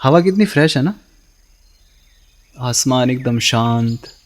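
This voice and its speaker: Wry heartbroken young Darpan. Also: male voice, mid-range voice, expressive, slow. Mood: wistful. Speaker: radio drama actor. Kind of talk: radio play acting.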